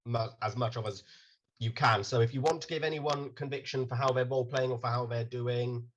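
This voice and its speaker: A man running a tabletop game as game master, explaining rules in a relaxed way. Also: medium pitch, brisk, lo-fi sound.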